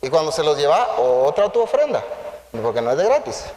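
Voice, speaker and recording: A man with a Central American accent preaching conversationally to a congregation, animated and playful, full of rhetorical questions and acted-out dialogue. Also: fairly high pitch, brisk, slight background noise. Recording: echoey hall with long reverb.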